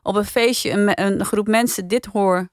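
A woman's voice: medium pitch, steady tone, moderate pace, no echo, clean studio sound. A woman with a Netherlandic Dutch accent, hosting a podcast panel in casual discussion. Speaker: podcast review panel host.

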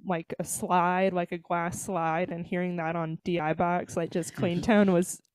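A woman's voice: fairly low pitch, flat delivery, a little slow, darkish tone, smooth, no room sound. A woman in her twenties, a band's guitarist, talking in a playful, slangy way in a casual interview. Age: 20s. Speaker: band guitarist.

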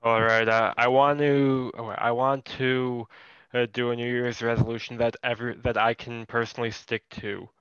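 Smooth-voiced man with a lisp. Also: mid-range voice, flat delivery, normal speed, a bit bright, dry recording. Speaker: podcast producer. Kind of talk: casual chat. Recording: dry, dull lo-fi sound.

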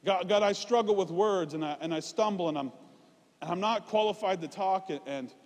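High-voiced male preacher in a reverberant hall. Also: a little fast, a little mellow. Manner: informal, self-deprecating humour. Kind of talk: conversational sermon to a congregation. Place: large reverberant room, preaching live.

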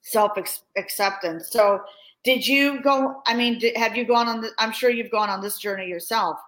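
A woman's voice: on the high side, normal speed, low-quality recording. A woman hosting a podcast, affirming her guest and posing long questions with restarts. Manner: warm encouraging interviewer, affirming, with restarts when asking. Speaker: podcast host. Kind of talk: interview hosting.

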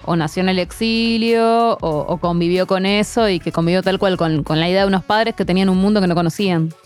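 A woman co-hosting a podcast, chatting casually and chiming in with quick agreement. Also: fairly low pitch, steady tone, a little mellow, soft background music. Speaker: podcast co-host. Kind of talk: casual chat.

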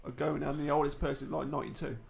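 A man's voice: fairly high pitch, level tone, quick pace, gravelly. An English man in his late thirties chatting casually as a podcast guest, often repeating words and short phrases for emphasis. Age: late 30s. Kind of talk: casual chat.

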